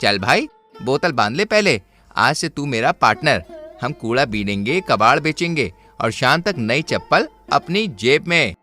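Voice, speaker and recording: A man's voice: medium pitch, sing-song, a little slow, bright, forceful tone, soft background music, pitched below the performer's own voice. A man doing a plucky ragpicker boy. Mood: upbeat. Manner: upbeat, encouraging.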